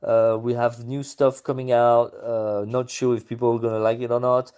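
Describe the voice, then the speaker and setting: A man's voice: medium pitch, little pitch movement, moderate pace, darkish tone, clean tone. A man being interviewed about his music, talking casually with many fillers and restarts.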